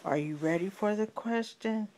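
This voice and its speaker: A woman voicing a patient, solemn male gatekeeper who questions children deliberately in a bedtime story. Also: mid-range voice, a little mellow, slight background noise, natural voice.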